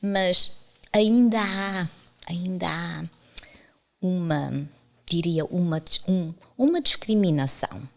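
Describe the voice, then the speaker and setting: A woman's voice: on the low side, takes it slowly, vocal fry. A middle-aged woman from the Azores with a Portuguese accent, an academic guest talking candidly and telling anecdotes in conversation. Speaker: university professor and author, interview guest. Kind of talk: conversational interview storytelling.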